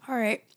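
A young woman in a soft, often whispered ASMR girlfriend roleplay, drowsy and close to the mic, her voice worn down as she loses it to a cold. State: losing her voice, congested.

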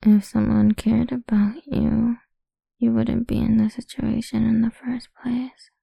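A woman's voice: a little high, flat delivery, measured pace, gravelly, mellow, husky, no room sound, heavily acted, low-quality recording. A woman voicing a cruel, domineering woman who taunts in a crude, menacing whisper in an audio roleplay.

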